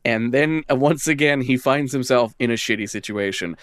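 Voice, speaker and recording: A man's voice: medium pitch, moderate pace, a bit bright, no echo, studio quality. A man co-hosting a podcast, casually chatting and reading game lore aloud, with sweary, wry asides. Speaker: podcast co-host.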